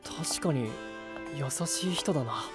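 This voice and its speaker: A boyish young knight, earnest and frank. The voice is fairly high and moves freely up and down, in anime audio drama style with music underneath. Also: male voice, animated, unhurried. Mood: thoughtful. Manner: plain, frank boyish speech.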